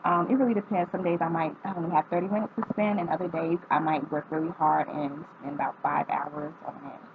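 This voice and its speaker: A woman in her twenties from North Carolina chats through a list of questions for her vlog, reading each aloud before answering with easy ums. Her voice sits a little low. The audio is thin and tinny, like a phone line, with a faint hiss behind it. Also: fairly even intonation, brisk. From North Carolina, United States. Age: 20s. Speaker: vlogger. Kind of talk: casual answering of tag questions.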